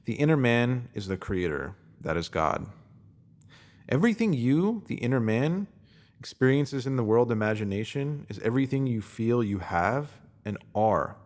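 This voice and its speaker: A man reading a spiritual essay aloud in a calm, measured, reflective way. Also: lowish voice. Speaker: reader.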